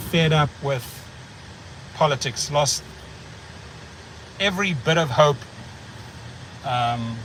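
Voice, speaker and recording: A man's voice: medium pitch, fairly bright tone, a little hiss or hum, low-quality recording. A middle-aged man with a South African accent hosting a casual livestream chat, rambling and blunt, repeating words as he thinks. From United States. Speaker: channel host.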